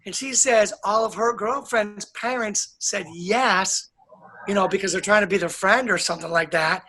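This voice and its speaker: A man speaking as an interview guest, calm and earnest, laying down his points firmly. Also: high voice, normal speed, poor audio. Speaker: guest, author and educator. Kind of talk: casual interview chat.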